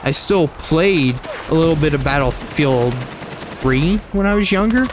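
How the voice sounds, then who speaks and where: A man's voice: a little high, lively intonation, a little slow, background noise. A young man chatting non-stop while playing a shooter game, rambling, repeating and correcting himself, with a slight frog in his throat. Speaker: gaming streamer. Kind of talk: casual gameplay commentary.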